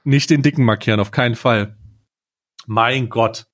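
A man hosting a casual comedic podcast, talking in a halting, informal way with bits of English slang. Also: mid-range voice, a bit bright, dry recording, studio quality. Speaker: podcast host.

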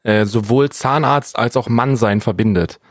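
A man's voice: medium pitch, moderate pace, no room sound, clean studio sound. A man, chatting and telling anecdotes casually as a podcast co-host.

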